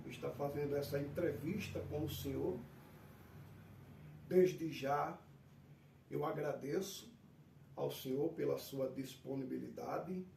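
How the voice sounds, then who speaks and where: A pastor with a Northeastern Brazilian accent conducts an interview in a formal, ceremonious manner. His slightly high voice has a gravelly edge. He sounds off-mic in an echoey room with faint noise. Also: dark tone, distant mic. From Pernambuco, Brazil. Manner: ceremonious, polite, addresses guest formally. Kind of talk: formal church-style interview.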